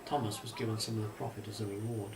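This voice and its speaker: A man's voice: lowish voice, flat delivery, quick pace. Man reading a story, roomy and distant. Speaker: author reading own story. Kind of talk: storytelling narration of a children's story. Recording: distant mic, roomy echo, faint hiss.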